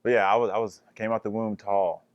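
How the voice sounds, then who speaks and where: Young man, deep voice, jokey stammered interview answers, dry slightly lo-fi recording. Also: steady tone, a little fast, dry recording. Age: young adult. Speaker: college basketball player.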